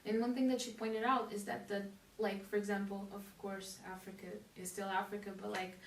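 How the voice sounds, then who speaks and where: A woman from Portugal hosts a relaxed interview, talking in a fairly even, level tone. She meanders with fillers and asides. Her voice sits back from the mic in a roomy space with faint hiss. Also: mid-range voice, a little fast, recorded from a distance. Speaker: host. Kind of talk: casual conversational interview.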